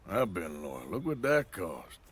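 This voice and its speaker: A low forceful cowboy outlaw, middle aged, tired n grave, american western drawl, game cutscene w noise behind. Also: male voice, sing-song, unhurried, slightly rough, heavily acted. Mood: grave, urgent. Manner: folksy, grave, plain-spoken. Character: weary middle-aged male Western outlaw, resigned, protective. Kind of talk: dramatic video game acting.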